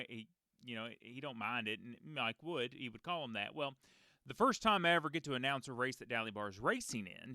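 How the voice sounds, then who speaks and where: A man in his thirties with a deep voice, a race announcer hosting a casual podcast and telling long stories with many fillers. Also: pushed and bright, smooth, no echo, clean studio sound. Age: 30s. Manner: rambling storyteller, many fillers and restarts.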